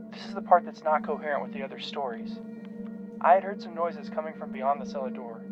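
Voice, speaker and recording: A man's voice: fairly high pitch, close to an everyday voice. A man playing a cautious, protective researcher in an audio drama, speaking in a considered, analytical, formal manner. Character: cautious, protective male researcher, worried for his friends. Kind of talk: scripted audio drama monologue and dialogue. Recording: dull lo-fi sound under music.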